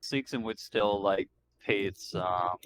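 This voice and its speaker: A man's voice: fairly low pitch, takes it slowly. A man chatting casually as a player at a tabletop roleplaying game session. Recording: clearly noisy background.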